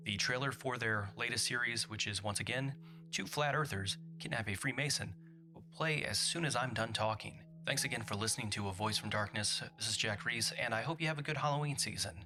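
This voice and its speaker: A man's voice: medium pitch, fairly quick. A man speaking as a podcast's producer, giving casual announcements and thanks to listeners.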